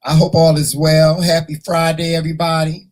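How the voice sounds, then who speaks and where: A hoarse man hosting a casual morning livestream, chatting and teasing his viewers in a folksy way. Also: fairly high pitch, completely flat delivery, normal speed. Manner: folksy, teasing, talks to the chat; repeats words and restarts often. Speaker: morning show host.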